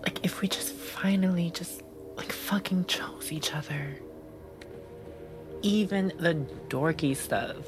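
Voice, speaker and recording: An actress playing a bold young woman who describes a fantasy in a breathy, unfiltered, swearing way, in an audio drama. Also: on the low side, slow, in a whisper, over music. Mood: dreamy.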